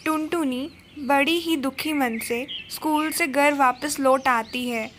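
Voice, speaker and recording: A woman's voice: high voice, slow, fairly bright tone, a little hiss or hum. A woman narrating a scripted children's story.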